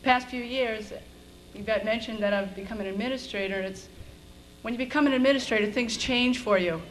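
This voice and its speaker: A woman's voice: on the high side, fairly quick. A middle-aged American woman, a school administrator, giving an informal, conversational commencement speech with a self-deprecating streak. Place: on stage at high school graduation. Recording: noisy event video with room ambience.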